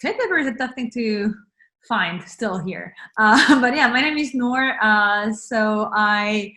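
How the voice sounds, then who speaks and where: A woman's voice: fairly high pitch, unhurried, bright, forceful tone. A woman from France, a guest on a video call, chatting casually with lots of "like" and "uh" and easy laughter. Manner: chatty, many fillers and laughs. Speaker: interview guest. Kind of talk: casual chat.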